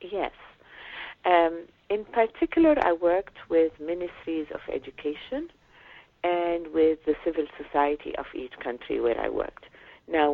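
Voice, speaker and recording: A woman from Lebanon, an education advocate, answering interview questions in a measured way with pauses and fillers. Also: sits low, vocal fry, no echo. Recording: tinny phone line, dry.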